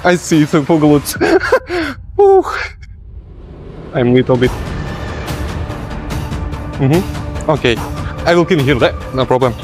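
A man's voice: fairly high pitch, sing-song, slow pace, pauses often, darkish tone. A young man posing as a cleaner in a gym, apologizing and giggling constantly in broken English. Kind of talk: hidden-camera prank. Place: large indoor gym. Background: music.

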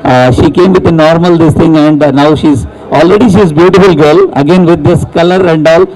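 A man in his forties with an Indian accent, giving an informal, halting promotional speech at a launch. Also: fairly high pitch, a little mellow, slight background noise, rough recording. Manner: halting, switches languages mid-sentence. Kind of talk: informal promotional speech mixing English and Telugu. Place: open atrium of a shopping mall, on stage. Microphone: handheld microphone.

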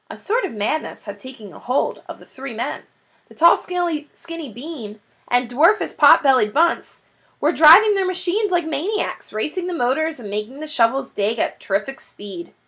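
A woman in her thirties reading a children's book aloud in an animated, dramatic way. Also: high-pitched, normal speed. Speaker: children's story reader. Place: small indoor room, classroom-like decor.

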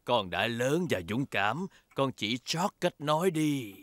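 A man voicing a calm, kindly bear doctor in a cartoon dub, measured, reassuring and professional. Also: mid-range voice, no echo, clean studio sound.

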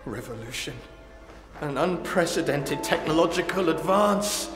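A man playing a wounded, frightened research scientist in a video game cutscene, halting and anguished. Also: on the high side, animated. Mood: awed, explaining. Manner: halting, anguished, breaking into outbursts. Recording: echoey hall reverb, music behind.

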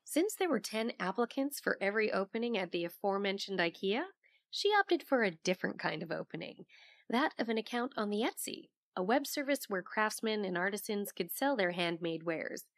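A woman playing an inquisitive investigative reporter, recounting her case as measured scripted audio drama narration. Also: mid-range voice, animated, no room sound, close to an everyday voice. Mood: measured, intrigued. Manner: measured, storytelling.

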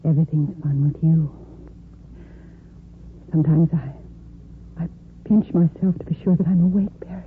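A woman's voice: low-pitched, normal speed, long pauses, close to an everyday voice. An actress playing a playful, witty young woman in love in a 1950s radio soap, teasing and dreamy. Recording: tinny, faintly noisy vintage radio recording.

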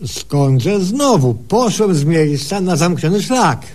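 A cocky, dim male patient in a comic radio sketch. His high voice leaps up and down through folksy, ungrammatical boasting. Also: sing-song, a little mellow, heavily acted. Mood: boastful. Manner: folksy, ungrammatical, drawn-out exclamations. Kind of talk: humorous radio sketch acting.

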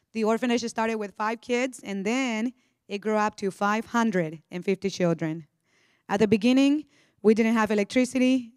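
A woman's voice: a little high, smooth, dry recording, clean studio sound. A young woman from Honduras with a Spanish accent, giving an earnest personal testimony on a church stage into a handheld mic. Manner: earnest, eager storytelling with casual fillers. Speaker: guest speaker.

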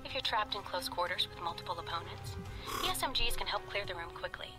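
A woman acts as a female weapons trainer guiding a video game tutorial. She gives calm, clipped, measured instructions at a medium pitch. Music plays clearly behind her. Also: lightly acted. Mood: instructive.

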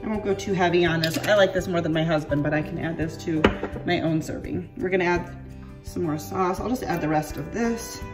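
A congested woman in her thirties chats her way through a home-cooked dinner, with lots of fillers and little apologies. Her voice sits a bit low, with soft music playing underneath. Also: a little fast, distant mic. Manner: chatty, self-deprecating, lots of fillers. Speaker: home cooking vlogger. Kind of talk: casual chatty cooking narration. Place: home kitchen and outdoor patio.

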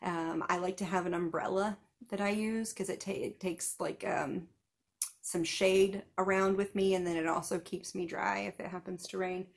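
A woman's voice: lowish voice, steady tone, normal speed, vocal fry. A woman in her thirties giving numbered tips in a casual chat to camera, seated on a couch at home, with small laughs and asides. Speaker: vlogger giving festival tips.

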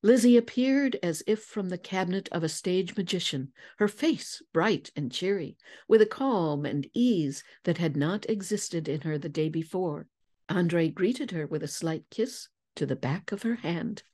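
A woman giving a dramatic, expressive audiobook narration, deliberate and articulate. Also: lowish voice. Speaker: voice actor and narrator.

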